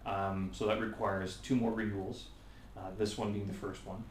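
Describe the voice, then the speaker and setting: A man hosting a podcast, chatting casually with quick interjections and short laughs. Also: on the low side, level tone. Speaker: podcast host. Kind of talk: casual conversation. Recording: echoey room with faint noise.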